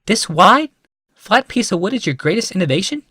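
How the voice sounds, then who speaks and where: A man's voice: high-pitched, sing-song, moderate pace, pushed and bright, breathy edge, no echo, studio quality, voice pushed up, heavily acted. A man voicing a refined, impressed woman in audiobook dialogue, emphatic and full of wonder. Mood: amazed. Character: refined women, an impressed elder and an awed wife.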